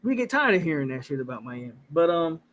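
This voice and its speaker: High-voiced man arguing sports. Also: sing-song, fast talker, a bit bright, a little hiss or hum. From Florida. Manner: argumentative, talks over others. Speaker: podcast co-host. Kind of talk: casual sports debate.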